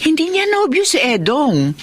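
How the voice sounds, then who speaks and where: A woman's voice: high voice, wildly swooping pitch, normal speed. An actress playing a kindly older Filipino employer in a Tagalog radio drama, caring and motherly. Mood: worried.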